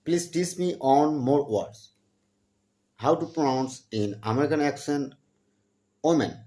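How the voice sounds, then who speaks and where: Young man from Bangladesh, eager English learner on a video call, halting phrasing, mid-pitched voice. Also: expressive, mellow. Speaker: channel host practicing English with strangers. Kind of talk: casual video chat with a stranger.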